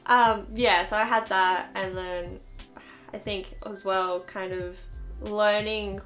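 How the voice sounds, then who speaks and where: A woman's voice: mid-range voice, fairly even intonation, slow, fairly bright tone, soft background music. A twenty-year-old woman hosting a casual podcast, rambling with frequent giggles and fillers. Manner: giggly, rambling, laughs often. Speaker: podcast host. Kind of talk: casual chat.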